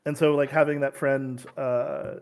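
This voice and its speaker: A man's voice: medium pitch, flat delivery, measured pace, gravelly, darkish tone. Rambling man, lots of fillers. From California. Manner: rambling, many fillers and restarts. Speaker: game publisher. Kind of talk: casual interview talk.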